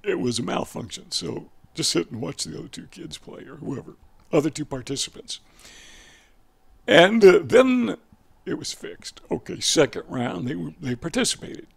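An older man with a raspy voice, explaining casually to camera on a headset mic, folksy and digressive, often correcting himself. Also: a little high, expressive, normal speed. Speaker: video educator.

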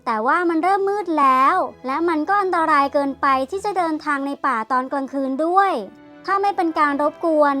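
A cunning, greedy vixen from a bedtime storybook, as a woman acts her out, high and very bright in tone. She wheedles and demands in turn. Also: brisk, soft background music. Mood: sly. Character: sly greedy female fox. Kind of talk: storybook character voice.

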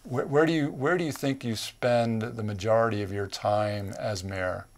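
A man's voice: lowish voice, steady tone, moderate pace. Man in his sixties, local TV host; chatty, rambling questions; lapel mic, small studio. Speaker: TV interview host. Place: small TV studio set, indoors.

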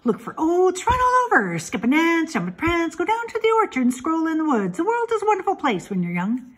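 A woman voicing a fast-talking female goose who repeats every phrase, in a storybook reading. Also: extremely high pitch, huge pitch swings, bright, forceful tone, pitched above the performer's own voice, heavily acted. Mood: excited. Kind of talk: storybook character voice.

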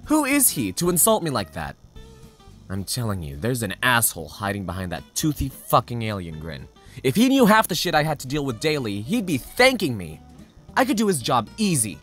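A man playing a glum, weary bearer of bad news in an animated short, hesitant and downbeat. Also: medium pitch, moderate pace, soft background music. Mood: indignant. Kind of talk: animated short film dialogue.

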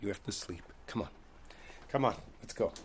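A man playing a gentle, reassuring boyfriend in an intimate ASMR roleplay, speaking softly and without hurry. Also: fairly low pitch, lightly acted. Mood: soothing, coaxing. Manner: soft, unhurried, soothing. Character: gentle, reassuring musician boyfriend coaxing partner to sleep. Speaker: roleplay audio performer. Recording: steady background noise, rain sounds. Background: rain ambience.

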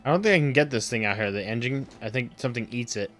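A man in his thirties with a raspy, sore voice, rambling through casual live-stream commentary at home with lots of ums. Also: medium pitch, lively intonation, fast, a bit bright, faint music behind. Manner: rambling, full of ums and uhs. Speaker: game streamer.

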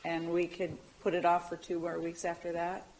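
An older woman on a county council speaks at her desk microphone, asking questions with hesitant restarts. Her voice sits a little low for a woman. A faint hiss runs under the council chamber recording. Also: completely flat delivery, moderate pace, a little mellow, low-quality recording. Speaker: council member. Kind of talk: council discussion.

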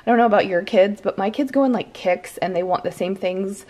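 A woman's voice: mid-range voice, brisk, mellow, rough recording. An American woman in her thirties chatting casually to viewers as a lifestyle vlogger inside a house. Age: 30s.

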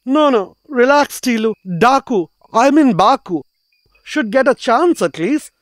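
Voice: mid-range voice, expressive, unhurried, no room sound, clean studio sound, close to an everyday voice. An actor voicing a kindly, forgetful old owl who placates everyone in a cartoon. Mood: muddled, kindly. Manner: forgetful, muddles names, placating. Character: doddering, kindly bespectacled old owl chairing a meeting. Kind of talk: cartoon voice acting.

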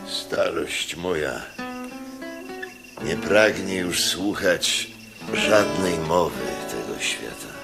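An actor playing a weary, brooding, imperious aged Roman proconsul in a radio play, speaking solemnly and haltingly in a gravelly voice. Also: male voice, very deep voice, heavily acted. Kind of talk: Polish radio play acting. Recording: music under the voice.

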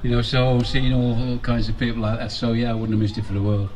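A former footballer talks in a loose, reminiscing way at a football ground. His voice sits at a middling pitch and sounds slightly distant from the handheld mic. Faint outdoor noise runs behind him. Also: little pitch movement, fast, darkish tone. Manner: rambling, reminiscing. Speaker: former player. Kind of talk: casual interview. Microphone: handheld mic held by interviewer.

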